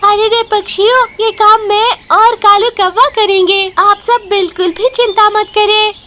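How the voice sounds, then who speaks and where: A woman's voice: very high voice, fairly even intonation, measured pace, sharp tone, full character voice. A woman voicing a brave, scolding mother bird in a children's cartoon, emphatic and full of exclamations. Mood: confident. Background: music.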